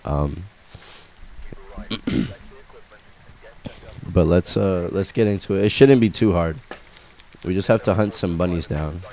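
Low-voiced sick young man streaming games. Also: slow pace, pauses often, warm tone, poor audio. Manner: laid-back, frequent ums, greets chat by name. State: sick, slightly scratchy voice. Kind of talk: casual game livestream chat. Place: small room at home. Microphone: boom mic on arm, headphones.

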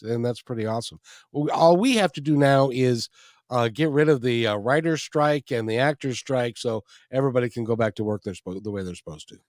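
A man's voice: medium pitch, a little mellow, dry recording. Chatty male radio host, dry close mic. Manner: chatty, jokey, repeats phrases. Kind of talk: interview and show outro. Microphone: studio microphone with headphones.

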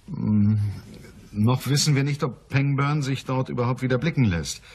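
An actor playing a dry, commanding private detective in a crime radio play, matter-of-fact and wry. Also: male voice, mid-range voice, normal speed, a little mellow, husky. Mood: businesslike. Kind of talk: German crime radio play, dialogue and narration.

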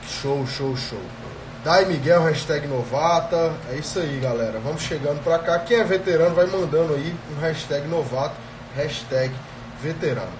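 A man's voice: on the high side, normal speed, echoey room, distant mic, noisy background. Brazilian man live-streaming with a cold. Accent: Brazilian Portuguese. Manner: energetic, addresses viewers directly, frequent "tá?" checks. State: has a cold. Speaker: productivity coach hosting a live stream. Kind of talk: live stream talk to viewers. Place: small indoor room. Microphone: earphone headset mic on a phone.

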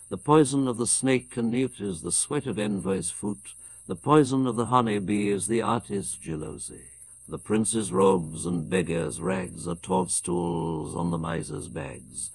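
Slow, gravelly older British man reciting. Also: medium pitch. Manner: measured, declamatory. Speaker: poetry reader. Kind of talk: solemn dramatic poetry recitation.

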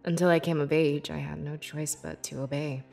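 A woman playing a young, devoted beast-folk scribe in a fantasy video game, with earnest, measured and expressive delivery. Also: low-pitched, vocal fry, faint music behind. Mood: reflective, sincere. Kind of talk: scripted video game character dialogue.

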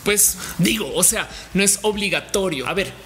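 A woman in her thirties from Colombia, talking to camera in a candid personal vlog with an expressive, chatty manner and playful asides. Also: medium pitch, normal speed, a little hiss or hum. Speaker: vlogger. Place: indoor home studio room with shelves.

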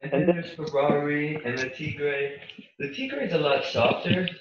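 A man plays a vain young model with little going on upstairs, earnest and pleased with himself. The voice sits fairly high in a very roomy, muffled and noisy home recording. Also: close to an everyday voice. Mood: earnest vanity. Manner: slow-witted, self-satisfied, earnest. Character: vain, dim-witted young male model. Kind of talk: amateur comedy table read. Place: home, on a group video call. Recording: echoey, muffled, noisy video call.

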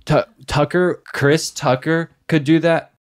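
A man's voice: on the high side, steady tone, slow, no echo. A young American man in casual comedic podcast chat, rambling and irreverent, swearing freely into a close mic. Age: young adult. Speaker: podcast co-host. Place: small room indoors. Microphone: close podcast mic on boom arm.

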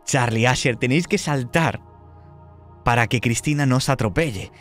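A man's voice: mid-range voice, normal speed, soft background music. A man running a tabletop horror roleplaying game, narrating in a dramatic, suspenseful way. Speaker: game master.

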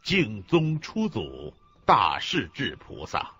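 A man playing a solemn, emphatic reciter of Buddhist sayings on radio, with a raspy, forceful voice. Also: fairly low pitch, wildly swooping pitch, slow, no echo, heavily acted. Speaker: reader of quotations in a radio lecture. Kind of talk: solemn scripted scripture recitation. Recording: dull lo-fi recording with faint music.

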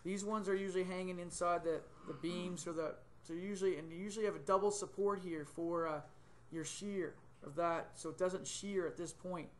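Higher-voiced man explaining software steps. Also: steady tone, moderate pace, few pauses. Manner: thinking aloud, many ums and self-corrections. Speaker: software tutor. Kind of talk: screen-recorded software tutorial. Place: small indoor room, webcam in corner of screen.

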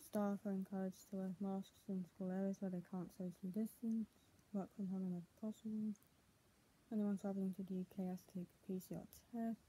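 A woman's voice: medium pitch, level tone, fairly quick, warm tone. A young adult woman reading news text aloud in a recited way with small stumbles, recorded on a tablet in a small bedroom. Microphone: tablet's built-in mic at arm's length. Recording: clearly noisy, muffled home tablet recording.

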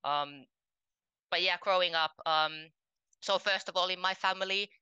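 A young woman from Finland with a low voice that sits in a narrow band. Her tone is bright and very clean, recorded dry and close on a headset. She tells her story earnestly and plainly, with lots of ums. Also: fairly even intonation, a little slow, clean tone, dry recording. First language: Finnish. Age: 20s. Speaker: podcast guest. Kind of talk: casual interview talk. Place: home room, indoors.

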